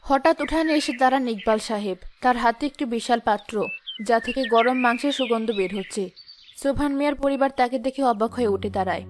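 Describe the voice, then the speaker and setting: A woman's voice: on the high side, quick pace, background noise. A woman reading scripted narration for a moral story.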